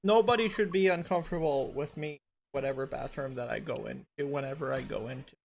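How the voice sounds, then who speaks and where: A woman's voice: rumbling low, brisk, a little mellow, slight background noise, poor audio. A woman hosting a casual podcast panel chat, rambling and repeating herself as she talks. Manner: rambling, repeats herself while searching for photos. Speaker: podcast host.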